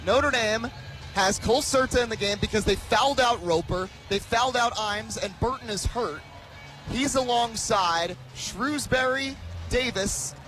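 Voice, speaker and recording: A man in his twenties doing live radio play-by-play of basketball, with excitable, dramatic calls that rise into shouting. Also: extremely high pitch, a little slow, faint music behind, rough recording. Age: 20s. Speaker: play-by-play commentator. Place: basketball arena.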